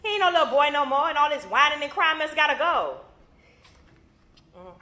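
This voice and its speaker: A harsh, scornful mother who snaps and scolds, as a woman plays her, with a high, lively, sharp voice. Her words bounce around an echoey room. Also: brisk, full character voice. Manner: scolding, sharp Southern slang. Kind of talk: stage play acting.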